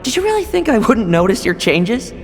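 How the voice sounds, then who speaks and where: A sly young man from a video game, high-pitched and swooping in melody. He taunts with smooth, theatrical condescension, in long reverb over music. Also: wildly swooping pitch, fairly quick, slight background noise, heavily acted. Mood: coolly knowing. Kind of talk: video game character acting.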